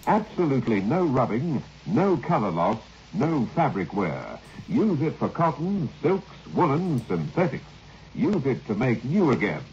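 A muffled old radio recording with some noise frames a male commercial announcer. He pitches in emphatic, clipped phrases, his intonation rising and falling in a lively way. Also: a little slow. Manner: emphatic, clipped list-like selling. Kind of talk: vintage radio advertising read.

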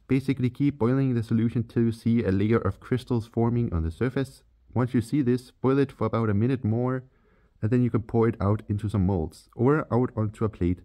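A man's voice: mid-range voice, dark tone. A man from Denmark with a Danish accent, giving a step-by-step chemistry voice-over for his channel. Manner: plain, step-by-step instructional. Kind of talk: scripted voice-over tutorial.

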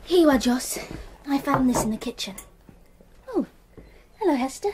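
A woman's voice: high-pitched, big pitch swings, moderate pace, background noise. A woman playing an observant young English girl who narrates her summer abroad in a radio drama, with an English accent. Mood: earnest, anxious. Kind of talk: radio drama acting and first-person narration.

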